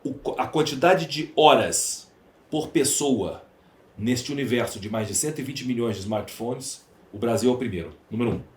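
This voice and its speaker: A man's voice: mid-range voice, moderate pace. A Brazilian man with a Brazilian Portuguese accent, speaking emphatically and rhetorically as an opinionated podcast guest. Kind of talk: opinionated interview talk. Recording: roomy echo, faint background noise.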